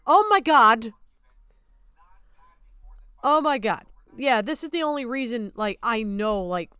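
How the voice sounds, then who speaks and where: Outraged, clear-voiced woman streamer. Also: high voice, bright, forceful tone, dry recording, studio quality. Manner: blunt, heavily swearing, indignant. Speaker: streamer reacting to videos. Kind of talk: casual stream commentary and rant.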